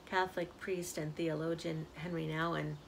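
An older woman, a community minister, giving a gentle spiritual reflection on an outdoor porch, filmed by a camera close up. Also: sits low, little pitch movement, gravelly, a little hiss or hum, low-quality recording. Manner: slow, soft-spoken, reflective. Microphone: camera at close range. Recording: outdoor ambience audible behind.

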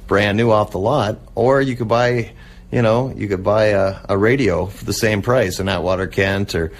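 A man speaking casually as an interview guest, halting and reminiscing as he goes. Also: fairly low pitch. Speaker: interview guest, old-time radio collector and restorer. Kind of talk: casual radio interview talk.

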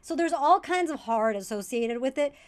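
A woman comedian whose first language is Tamil, telling stories with lively energy in a casual interview over a video call. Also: sits high, normal speed. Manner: lively, animated storyteller. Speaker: comedian guest.

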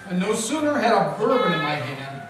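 A man playing a deadpan, wisecracking private eye who narrates his own case in an old-time radio play. His voice comes from far off in a very echoey hall, with music behind. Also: sits high, a little fast. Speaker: narrator and lead actor. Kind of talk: hardboiled 1940s radio detective narration.

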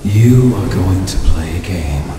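A man voicing a menacing godlike cartoon villain, slow and ominous. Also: lively intonation. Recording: booming cavernous reverb, music behind.

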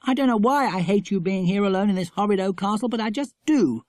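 Man putting on a fretful, high little English girl. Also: expressive, quick pace, a little mellow, dry recording, studio quality. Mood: reluctant. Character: anxious, affectionate young schoolgirl.

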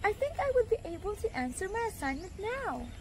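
A woman voicing a cheerful, friendly small animal puppet with a squeaky, cutesy delivery in a scripted educational show. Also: very animated, a little fast, a little hiss or hum. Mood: friendly, explaining. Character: cheerful young female rabbit and mouse puppets, friendly and eager.

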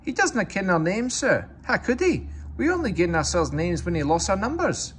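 A man's voice: high voice, very animated, normal speed, slight background noise, pitched above the performer's own voice. A man voicing a cheeky, mock-innocent Scottish twin steam engine from a storybook. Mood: cheerful, cheeky.

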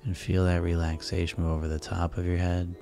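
A man speaking as a hypnotist, guiding listeners into sleep in a soothing, unhurried, repetitive way over music. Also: sits low, monotone, creaky. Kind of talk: guided sleep hypnosis narration. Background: relaxing background music.

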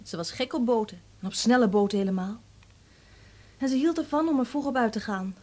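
A woman's voice: on the high side, brisk, a little mellow, close to an everyday voice. An actress playing a reserved, guarded young woman in a Dutch radio drama, speaking reticently. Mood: confiding, grave.

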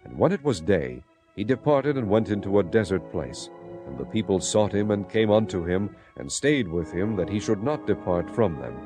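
A man narrating dramatized scripture as a solemn, measured storyteller. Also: lowish voice, sing-song. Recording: clear music bed behind narration. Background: music.

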